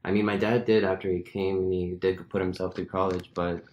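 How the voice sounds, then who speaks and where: A man's voice: sits low, little pitch movement, a little fast, darkish tone. A young man from the United States whose first language is Gujarati, telling rambling stories in a casual podcast chat. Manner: rambling, self-correcting storyteller. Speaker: podcast co-host.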